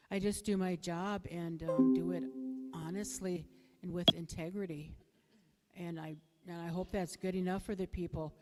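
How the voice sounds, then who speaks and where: Soft, low-voiced woman in an echoey hall. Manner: hesitant, halting, plain-spoken. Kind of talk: unscripted candidate forum answer. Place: bingo hall, public forum over a PA. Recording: echoey hall with long reverb.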